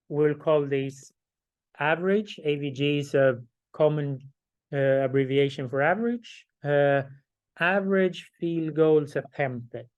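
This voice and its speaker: A middle-aged man, hoarse and losing his voice, giving a class lecture and explaining each step slowly, often checking with "right?". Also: on the high side, darkish tone. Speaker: instructor.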